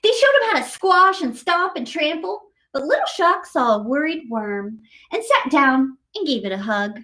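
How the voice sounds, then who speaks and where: High, animated woman reading to children. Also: normal speed. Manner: expressive, chatty asides to young listeners. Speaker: storytime host reading to children. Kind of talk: animated picture-book read-aloud.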